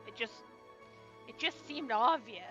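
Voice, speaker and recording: A woman's voice: on the high side, animated, a little slow, sharp tone, dull audio, soft background music. A woman streamer casually commenting while playing, offhand and blunt, swearing now and then.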